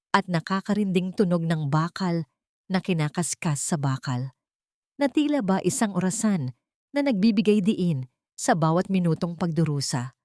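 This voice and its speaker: Low-voiced Filipino woman narrating a drama, dry sound. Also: darkish tone, dry recording. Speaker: narrator of audio love stories. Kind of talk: scripted dramatic storytelling narration.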